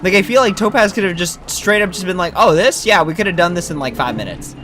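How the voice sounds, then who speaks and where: A man's voice: high voice, lively intonation, fast, a bit bright. A man giving casual, rambling gameplay commentary as a streamer, talking informally. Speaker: game streamer. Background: game music.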